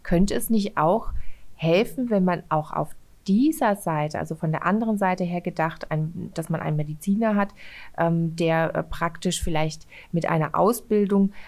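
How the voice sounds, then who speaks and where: Woman, lowish voice, interviewer, measured questions. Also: a little mellow. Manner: asks measured, structured questions. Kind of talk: radio-style interview.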